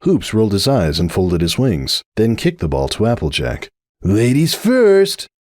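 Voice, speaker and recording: A man's voice: low-pitched, big pitch swings, normal speed, darkish tone, no room sound, studio quality. A man giving a dramatic scripted reading of a story as its narrator.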